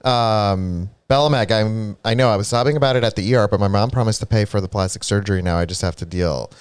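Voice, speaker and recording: A male streamer with a congested, stuffy nose chats casually with his audience. His tone is smooth and resonant. The sound is dry and close. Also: on the low side, quick pace, dry recording. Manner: chatty, reads viewer comments aloud, animated asides. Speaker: streamer co-host. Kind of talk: casual live-stream chat.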